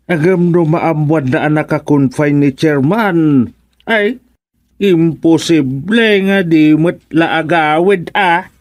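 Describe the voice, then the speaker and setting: Give this to a gossipy Ilocano village councilman in a radio comedy. His voice is on the high side, jovial but a bit unhurried, with a dry recording. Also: no echo. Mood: joking. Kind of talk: radio drama comedy acting.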